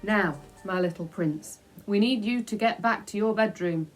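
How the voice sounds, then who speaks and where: A woman playing an elderly storybook nanny who bosses and fusses in equal measure. She is brisk and coaxing, scolding one moment and doting the next. Faint music plays behind her. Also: mid-range voice, close to an everyday voice. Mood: bossy. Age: older. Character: bossy, doting old nanny, affectionate and scolding. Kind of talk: children's storybook character voice.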